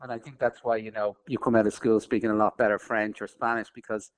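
Earnest Dublin man, lower voice. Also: flat delivery, quick pace. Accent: Dublin Irish. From Dublin, Ireland. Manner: earnest, searching for words, many restarts. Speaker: filmmaker being interviewed. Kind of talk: reflective interview talk.

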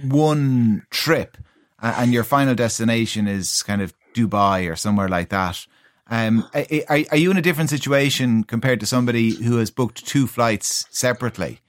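A man's voice: mid-range voice, normal speed, no echo, studio quality. An Irish man presenting on radio, putting conversational questions to a guest in a news interview.

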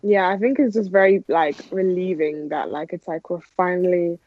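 A woman's voice: on the low side, moderate pace, dry recording. A young woman with an Irish accent giving informal, conversational interview answers peppered with "like". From Ireland. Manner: informal, frequent "like". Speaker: interview guest, athlete. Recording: dull, lo-fi audio.

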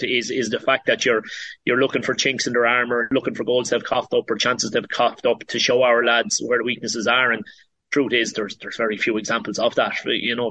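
A man's voice: mid-range voice, bright, forceful tone, no echo, rough recording. A man with an Irish accent, a football manager giving conversational interview answers, emphatic and often repeating phrases for weight.

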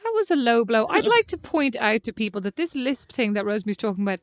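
A woman's voice: on the high side, expressive, fast, dry recording, studio quality. A woman with a slight lisp co-hosting a casual chat with her sister, playful and mock-indignant. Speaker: podcast co-host.

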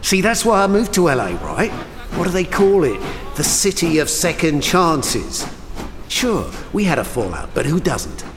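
A man's voice: a little high, wildly swooping pitch, unhurried. An actor playing a shifty, cheeky ex-chauffeur and con man with a Cockney English accent in a radio interview. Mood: confiding. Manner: evasive, cheeky, chatty. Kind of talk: 1920s radio news interview, acted. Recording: echoey station hall with clear noise.

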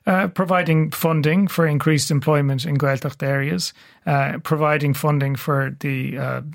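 Higher-voiced Dublin man explaining history. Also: steady tone, vocal fry. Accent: Dublin Irish. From Ireland. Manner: measured, fact-heavy, frequent uh fillers. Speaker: curator, radio guest. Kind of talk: radio interview, explanatory.

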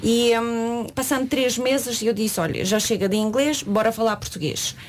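Chatty Ukrainian woman, bright voice. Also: fairly high pitch, moderate pace. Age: 30s. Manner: lively, talkative, laughs often. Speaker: guest. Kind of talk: radio interview chat. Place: radio studio.

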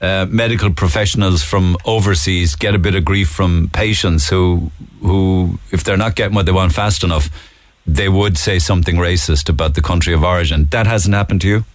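A man with a Cork Irish accent hosting a radio phone-in, chatty and quick to jump in with questions. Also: deep voice, no echo. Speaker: radio talk show host. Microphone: studio microphone.

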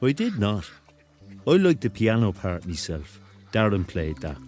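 A kindly, cryptic rock legend back from the dead. His voice sits a little low and moves with lively inflection, laconic and gently mocking in a radio play. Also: measured pace, soft background music. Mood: dry, teasing. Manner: laconic, easygoing, dryly teasing. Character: laid-back, kindly, cryptic ghost of an Irish rock star. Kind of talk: radio drama dialogue.

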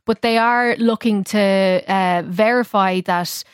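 A woman's voice: medium pitch, steady tone, slow pace, clean tone, dry recording, studio quality. A woman with an Irish accent speaking as a technology correspondent, explaining things in an informal, conversational radio style.

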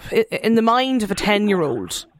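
A woman's voice: mid-range voice, big pitch swings, normal speed, a little hiss or hum. A woman hosting a radio phone-in, hesitant and repeating her words as she forms her questions. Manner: hesitant, repeats words, then a sudden lift. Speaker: radio host.

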